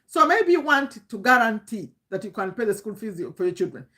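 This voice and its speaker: A woman's voice: a little high, very animated, brisk, sharp tone. A woman in her fifties with a Ugandan accent gives an informal motivational talk as a coach, emphatically repeating phrases and asking rhetorical questions. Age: 50s. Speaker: online business coach.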